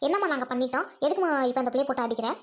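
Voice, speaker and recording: A woman's voice: sits high, expressive, racing. A woman playing a hot-tempered, caring older village woman in a drama, scolding and questioning in colloquial speech. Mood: indignant. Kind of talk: village comedy-drama acting.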